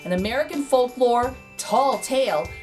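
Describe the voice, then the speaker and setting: A woman's voice: a little high, animated, normal speed, faint music behind. A woman reading a children's picture book aloud expressively, drawing out key words for emphasis. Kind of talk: expressive storybook read-aloud.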